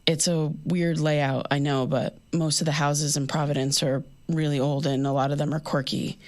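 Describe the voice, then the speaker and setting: A woman acting an anxious, sleepless young woman who rambles haltingly in a naturalistic audio diary drama. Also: low-pitched. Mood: weary, recounting. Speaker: lead actor in a fiction podcast.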